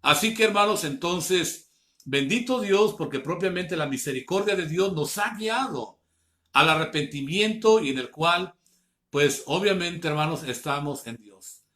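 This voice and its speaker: A man, preaching an online Bible class, earnestly calling his listeners brothers and slowing down to read scripture. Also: on the high side, animated, fairly bright tone. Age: 50s.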